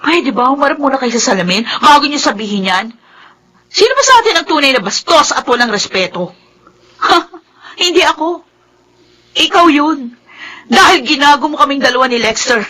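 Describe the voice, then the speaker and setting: High-pitched, furious wounded young daughter, Filipino. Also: female voice, animated, normal speed, long pauses, bright, forceful tone, rough recording. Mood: bitter sarcasm. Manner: biting sarcasm, switches between Tagalog and English. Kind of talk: Filipino radio soap acting.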